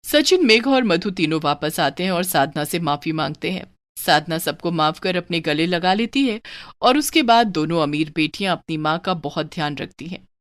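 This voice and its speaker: A woman's voice: lowish voice, animated, moderate pace. A woman narrating a scripted moral story for a cartoon.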